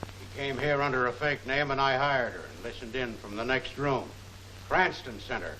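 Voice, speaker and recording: An actor playing a brisk, obedient villain's lieutenant giving clipped, businesslike orders in a 1940s film serial. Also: male voice, medium pitch, sharp tone, a little hiss or hum, close to an everyday voice, rough recording. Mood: dutiful.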